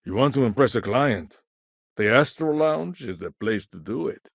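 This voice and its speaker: A man acting a shrewd, self-assured businessman in a video game, with a wry, smooth-talking manner. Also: medium pitch, expressive, moderate pace, dry recording, studio quality. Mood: businesslike.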